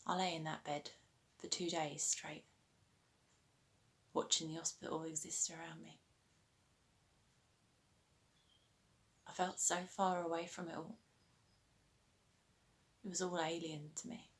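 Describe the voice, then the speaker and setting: Actress as female recovering addict, haunted and weary; low voice, measured dramatic monologue delivery. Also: little pitch movement. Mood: pained, quiet. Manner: measured, deliberate, pausing delivery.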